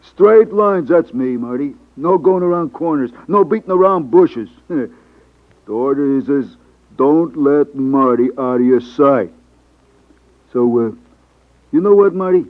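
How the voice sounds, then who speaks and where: A man's voice: on the high side, animated. An actor playing a jeering, slangy hoodlum henchman with menacing good cheer in a 1950s radio drama. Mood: taunting, jovial menace. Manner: slangy, taunting, drawn-out. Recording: tinny band-limited 1950s radio recording.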